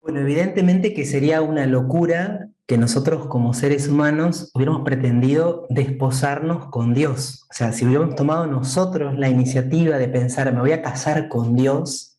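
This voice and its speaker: A man with an Argentine accent, a priest from Entre Ríos, speaking as a guest in a measured, preaching cadence. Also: fairly high pitch, warm tone. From Argentina, Entre Ríos province. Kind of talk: calm explanatory talk. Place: remote, joining from another country.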